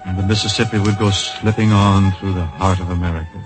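An actor narrating a tragic radio drama in a solemn, poetic, storytelling manner. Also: male voice, sits low, fairly even intonation, brisk, close to an everyday voice. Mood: solemn, lyrical. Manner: lyrical, grave storytelling. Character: solemn, poetic male narrator of a patriotic tragedy. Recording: vintage radio recording with music behind.

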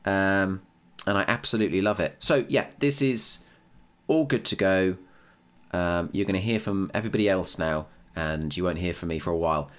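British guy w a low voice doing a casual podcast diary, candid, bit sweary, self-deprecating. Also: moderate pace, fairly bright tone. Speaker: podcast writer and creator. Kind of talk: casual behind-the-scenes audio diary.

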